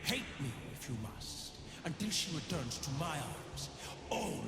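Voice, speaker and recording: A man's voice: a little high, big pitch swings, measured pace, music in the background. Theatrical undead king, reverberant and dramatic. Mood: menacing, defiant. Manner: grandiose, brooding, theatrical. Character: grief-mad undead king villain, obsessed with his lost queen. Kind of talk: dramatic video game villain voice lines.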